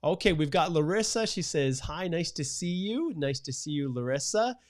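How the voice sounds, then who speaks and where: A man's voice: a little high, animated, moderate pace. Chatty man hosting a live Q&A. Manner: chatty, many "uh" fillers, reads out viewer comments. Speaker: immigration lawyer, stream host. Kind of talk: live stream Q&A chat.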